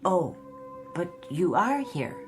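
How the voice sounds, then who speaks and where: An American secretary in a radio drama, low in pitch but lively in intonation. She is helpful, literal-minded and a little puzzled, over faint music. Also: takes it slowly. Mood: dutiful, puzzled. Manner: matter-of-fact, helpful, slightly puzzled. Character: dutiful, literal-minded female office secretary. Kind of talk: modern radio drama acting.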